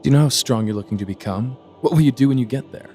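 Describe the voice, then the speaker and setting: A man playing a blunt, inquisitive god of death with measured, formal speech in an audio drama. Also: mid-range voice, mellow, soft background music, lightly acted. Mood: impassive probing. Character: impassive, inquisitive male god of death, blunt assassins' accountant.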